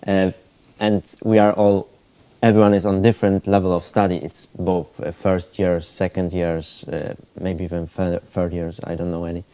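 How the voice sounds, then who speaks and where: Man, 19, from Poland, very low voice, mellow, halting, student panelist. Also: flat delivery, smooth. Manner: halting, hedging with fillers. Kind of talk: answering questions on a panel.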